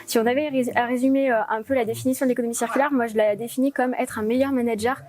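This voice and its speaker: A woman's voice: on the high side, fairly even intonation, rapid-fire, a little mellow. A woman with a French accent giving explanatory interview answers as a guest, didactic and building her points step by step. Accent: French (France). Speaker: project lead interviewed as guest.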